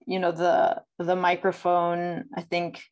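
A woman's voice: fairly low pitch, completely flat delivery, takes it slowly, darkish tone, muffled recording. A woman in her thirties speaking as a panelist, explaining things over a video call. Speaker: panelist, sound practitioner. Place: indoor room.

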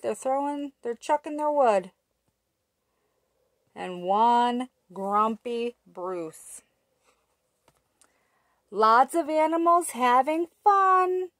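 Woman reading a picture book to little kids really slow, kinda high voice, dry webcam sound. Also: fairly bright tone, no echo. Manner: slow, sing-song, asks little listeners questions. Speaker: teacher reading aloud. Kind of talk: picture book read-aloud for toddlers. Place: small room indoors. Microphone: webcam at arm's length.

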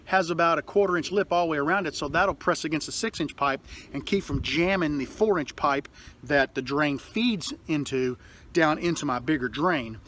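A man's voice: a little high, moderate pace, fairly bright tone, a little hiss or hum. A man in his forties, chatting casually in a rambling DIY vlog, filmed outdoors in his backyard and garage on a phone at arm's length. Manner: chatty, rambling with fillers and restarts. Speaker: workshop vlogger. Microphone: phone held at arm's length.

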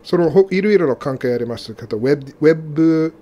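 Halting man, mellow voice. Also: fairly high pitch, lively intonation, dull audio. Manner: halting non-native speech with frequent restarts and laughs. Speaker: co-host. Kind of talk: casual radio chat.

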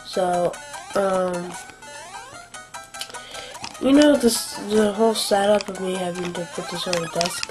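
A young woman giving casual, rambling commentary while playing a game at home, sniffly from allergies, with lots of fillers and quiet asides. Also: fairly low pitch, poor audio. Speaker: gameplay commentator. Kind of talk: casual let's-play commentary. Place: home room at a desk.

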